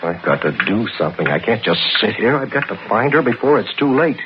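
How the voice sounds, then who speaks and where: A man's voice: fairly low pitch, big pitch swings, a little fast. An actor playing an anxious, overprotective middle-aged father in a 1960s radio suspense drama, with a fretful, brooding, melodramatic delivery. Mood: desperate. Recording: noisy, dull 1960s radio recording.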